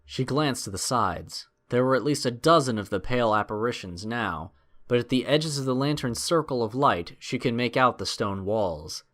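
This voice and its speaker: A man's voice: medium pitch, animated, moderate pace, fairly bright tone. Guy narrating a suspense adventure story, mid-range voice, dramatic audiobook style.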